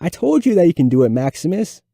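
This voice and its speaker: A man as a loyal schoolboy buddy, pitched up. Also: big pitch swings, quick pace, vocal fry, dark tone, no echo, clean studio sound, exaggerated voice. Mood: proud, cheering. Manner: proud, reassuring. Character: loyal, upbeat schoolboy best friend.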